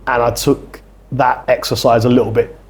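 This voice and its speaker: A man's voice: mid-range voice, little pitch movement, moderate pace, gravelly. A man from England hosting a podcast, asking questions in a conversational way. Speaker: podcast host. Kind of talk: casual interview talk.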